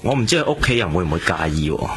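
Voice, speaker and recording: Low, expressive Cantonese pastor. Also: male voice, over loud music. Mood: sympathetic. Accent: Hong Kong Cantonese. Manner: courteous, measured, hesitant. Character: courteous, cautious male church pastor, willing to help. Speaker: actor in a radio drama. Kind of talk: naturalistic radio drama dialogue.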